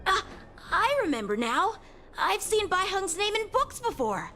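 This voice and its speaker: A woman playing a lively, earnest young military trainee in a video game, chatty and expressive. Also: very high voice, measured pace, slight background noise, exaggerated voice. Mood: eager, reminiscing. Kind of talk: scripted video game voice acting.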